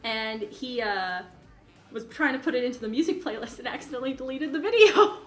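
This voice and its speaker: A woman co-hosting a casual podcast, telling anecdotes in an animated way and often interrupting herself. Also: on the high side, a little fast. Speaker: podcast co-host. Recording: roomy echo, music playing behind.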